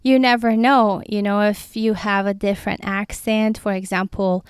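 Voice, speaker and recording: Chatty woman rambling with a crisp, smooth voice. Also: medium pitch, little pitch movement, normal speed, dry recording, clean studio sound. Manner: rambling, goes off on tangents. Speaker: podcast host. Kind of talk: casual solo talk. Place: outdoors by the sea.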